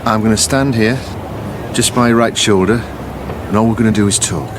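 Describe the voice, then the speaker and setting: An actor playing a patient psychologist who draws out buried memories, speaking slowly and calmly in a coaxing, hypnotic way. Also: male voice, lowish voice, background noise, lightly acted. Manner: calm, slow, coaxing, hypnotic questioning. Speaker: memory recall specialist. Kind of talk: radio drama acting. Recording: music playing under the voice.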